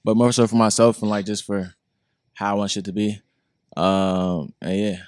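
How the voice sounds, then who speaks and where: A man's voice: lowish voice, little pitch movement, normal speed, a little mellow, no echo, studio quality. A man guest, a creative director, talking casually in loose, rambling slang. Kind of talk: casual podcast chat.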